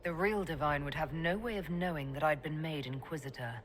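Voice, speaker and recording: A woman's voice: low-pitched, a little fast, a little hiss or hum, lightly acted. An actress playing a blunt, sceptical female elf mage leader, curt and matter-of-fact, in a video game. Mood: curt, defiant.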